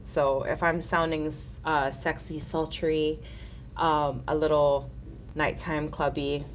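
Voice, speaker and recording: Low-voiced nasal woman with a cold. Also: flat delivery, takes it slowly, slight background noise. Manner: chatty and upbeat, with fillers. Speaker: nail art host. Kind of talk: casual live tutorial.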